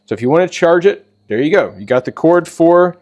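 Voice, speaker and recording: A man hosting a casual product walkthrough, talking in an informal, halting and rambling way. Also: fairly high pitch, lively intonation, a little mellow. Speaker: host of a preparedness channel.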